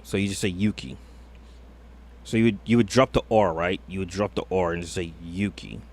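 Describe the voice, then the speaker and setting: A man's voice: low-pitched, steady tone, normal speed. A man streaming a reaction video, thinking aloud in a hesitant, rambling way full of fillers. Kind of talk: casual reaction commentary.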